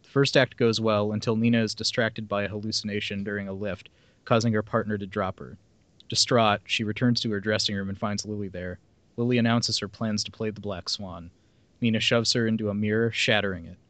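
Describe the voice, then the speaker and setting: A man's voice: fairly low pitch, fairly even intonation, brisk, a bit bright, no echo. A man hosting a podcast, reading a plot summary aloud with casual asides. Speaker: podcast host reading film synopses.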